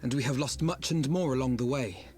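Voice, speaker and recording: A man's voice: medium pitch, fairly quick, darkish tone, lightly acted. An actor voicing an earnest, resolute young man in a video game, speaking solemnly. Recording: music under the voice.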